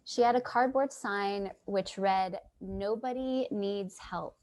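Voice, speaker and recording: Actress as thoughtful female passerby, unhurried, mid-pitched, gentle and concerned, stage drama narration. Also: lightly acted. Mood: wistful, reflective. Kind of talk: staged play reading, dialogue and monologue.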